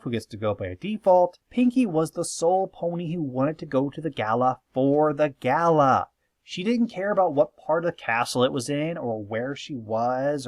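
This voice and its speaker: A man delivers scripted commentary with very animated intonation. He is conversational and emphatic, with a playfully sarcastic edge. The sound is dry, with hardly any room to it. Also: mid-range voice, dry recording. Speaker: host of a fan opinion video.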